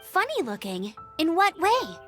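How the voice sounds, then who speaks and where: An eager young knight scout from a video game, speaks in a high, very lively voice. She is self-assured and determined, with light music playing behind her. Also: measured pace, full character voice. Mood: alert, urgent. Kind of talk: scripted video game voice acting.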